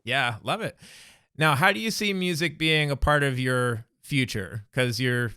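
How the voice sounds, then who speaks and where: Bright, crisp male podcast host. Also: medium pitch, measured pace, no room sound, clean studio sound. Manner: upbeat, affirming, paraphrases answers back. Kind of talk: friendly interview, podcast outro.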